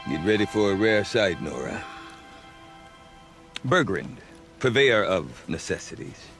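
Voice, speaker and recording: Deep, slow-talking mountain trader. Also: male voice, very animated, full character voice. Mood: grave, wry. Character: wry mountain village trader, grave and knowing. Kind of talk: dramatic game dialogue. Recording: clear music behind. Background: music.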